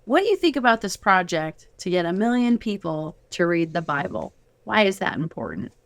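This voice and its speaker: A woman's voice: on the low side, big pitch swings, moderate pace, no echo. A middle-aged woman hosting a casual, heartfelt studio interview in an encouraging, affirming way. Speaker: show host and mother of the guests.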